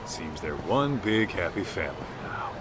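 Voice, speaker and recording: An actor playing a gruff, loyal yakuza bodyguard turned detective, blunt and streetwise, in a video game cutscene. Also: male voice, deep voice, sing-song, normal speed, heavy background noise. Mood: reminiscing.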